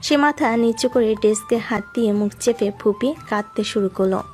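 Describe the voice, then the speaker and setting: Casting: woman narrator for romantic audio tales, higher register, scripted delivery over background music. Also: fast talker, a little mellow. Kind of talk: scripted dramatic story narration. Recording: music behind the voice.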